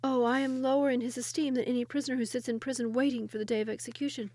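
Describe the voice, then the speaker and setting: A wry, clever young royal wife, played by a woman storyteller. She speaks in a high, measured voice, resigned yet resolute. It is a storybook figure with very dry sound. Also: steady tone, mellow, no echo.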